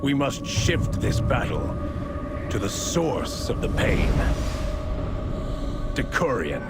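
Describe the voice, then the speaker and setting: An actor playing a grim, war-weary leader, speaking solemnly in a game cutscene. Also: male voice, medium pitch, sing-song, over loud music. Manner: solemn and measured. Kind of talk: video game cutscene acting. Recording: loud orchestral game score behind.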